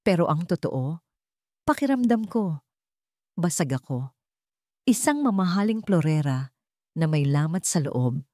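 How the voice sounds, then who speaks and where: A woman voicing a wounded, wistful young businessman in a storybook drama, speaking softly and confidingly. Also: deep voice, big pitch swings, unhurried, pauses often, dry recording, studio quality. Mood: wistful, broken. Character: wealthy young businessman, wounded, wistful, bitter about duty.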